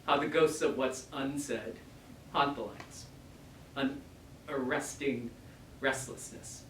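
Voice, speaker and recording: A man introducing poets at a live reading, delivering prepared lyrical remarks in measured, pausing phrases. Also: medium pitch, a bit bright. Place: bookshop reading event. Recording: echoey room, distant mic, faint noise.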